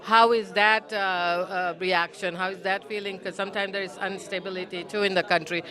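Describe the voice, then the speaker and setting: A woman's voice: mid-range voice, steady tone, moderate pace, crowd noise. A woman hosting interviews at an event, chatty and finishing her guests' sentences. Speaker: host. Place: consulate reception hall.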